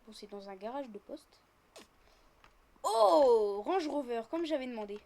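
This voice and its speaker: High-pitched female gaming host, chatty, improvises roleplay and talks through every move. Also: lively intonation, a little slow, gravelly, a little mellow. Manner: chatty, narrates own actions as they happen. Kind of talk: casual improvised roleplay commentary while playing a game.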